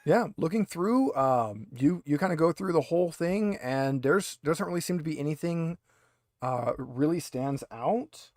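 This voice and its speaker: A man's voice: on the high side, lively intonation, measured pace, darkish tone, no echo, clean studio sound. A man game mastering in a casual, improvised way, thinking aloud as he describes things.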